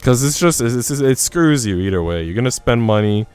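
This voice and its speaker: A man's voice: mid-range voice, lively intonation, fairly quick, darkish tone, clean tone. A man streaming casually, chatting and reading in an informal, slangy way. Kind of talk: casual livestream chat and visual novel reading. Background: faint music.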